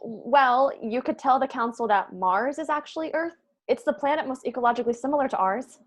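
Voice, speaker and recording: A brainy young woman with a fairly high voice, precise and sincere about her plans. A woman acts her out at a script read-through. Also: fairly quick. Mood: tentative. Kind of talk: table read of a comedy script.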